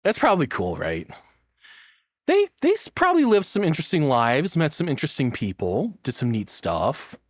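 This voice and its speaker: Casual man with an animated, swinging delivery. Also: fairly high pitch, moderate pace, no echo, clean studio sound. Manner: flippant, rambling, profane. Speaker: YouTube reaction host. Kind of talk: casual joking reaction commentary.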